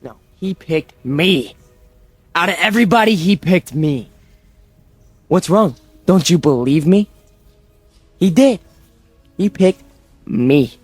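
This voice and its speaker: A man's voice: expressive, slow pace, pauses often, breathy edge, no echo, full character voice. An actor playing a cold young man, obsessed with strength and power, who grows frantic, in a vintage television drama. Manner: tense, clipped, turns shrill and frantic. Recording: vintage broadcast soundtrack with music.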